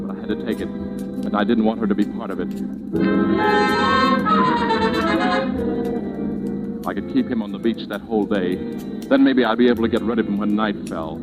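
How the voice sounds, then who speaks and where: An actor playing an anxious young American man with a halting delivery in a 1940s radio suspense drama. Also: fairly low pitch, lightly acted, poor audio. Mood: grim, confessional. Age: young adult. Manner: halting, repeats words. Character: anxious young man secretly hiding a killing. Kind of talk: 1940s radio acting, first-person suspense narration. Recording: old radio recording with dramatic music.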